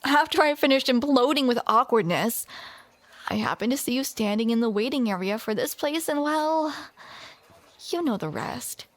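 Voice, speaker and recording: A woman's voice: fairly high pitch, expressive, normal speed, no room sound. A young woman performing a scripted romantic comedy audio roleplay, flustered and playful, often breaking into awkward laughs.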